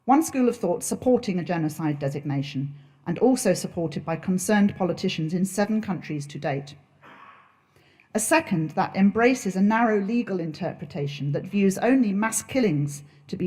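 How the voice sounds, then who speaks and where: A woman who organised the conference, delivering formal prepared opening remarks in a measured way. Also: medium pitch, a little mellow.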